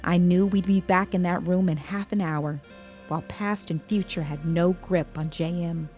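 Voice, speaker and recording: An actress playing a tense, caring police investigator, narrating a grim story in measured, literary first person. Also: female voice, lowish voice, fairly even intonation, a little mellow, faint music behind, natural voice. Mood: measured, uneasy. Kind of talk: first-person scripted audio drama narration.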